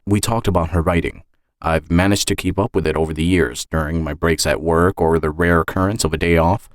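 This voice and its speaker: A man's voice: low voice, steady tone, brisk, no room sound, studio quality. A man reading a scripted first-person dramatic story as its author and narrator. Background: music.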